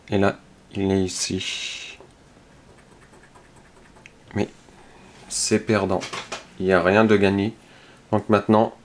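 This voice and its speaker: A man slowly works through scratch cards, reading each number aloud in a low, unhurried voice. He mutters softly and checks line by line, with the scratch of the coin behind him. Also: slight background noise. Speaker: scratch-card video host. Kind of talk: casual play-by-play while scratching tickets.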